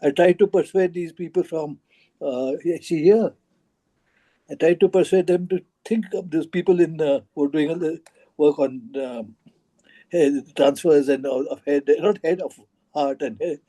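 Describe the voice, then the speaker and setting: Man, very muffled dark tone, husky, higher pitch, halting, rambling discussion. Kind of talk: informal book club discussion.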